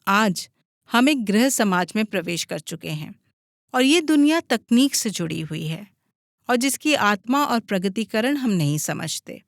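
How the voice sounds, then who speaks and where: High-voiced woman narrating, dry close sound. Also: no room sound. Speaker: audiobook narrator. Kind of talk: scripted audiobook narration.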